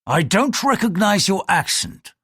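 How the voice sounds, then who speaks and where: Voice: mid-range voice, sing-song, a little slow, bright, forceful tone, slightly rough, no room sound, studio quality, pitched above the performer's own voice, exaggerated voice. An audiobook reader voicing a bold, taunting ship's pilot who talks bluntly and defiantly.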